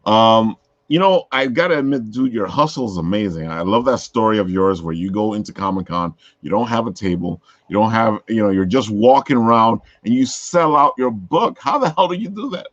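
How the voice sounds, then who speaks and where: Host, male, Brooklyn accent, easygoing and slangy, quick to laugh, muffled dry recording. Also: on the low side, animated, fairly bright tone, no room sound. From Brooklyn, New York. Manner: laid-back, slangy, laughs easily. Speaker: podcast host. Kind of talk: casual chat.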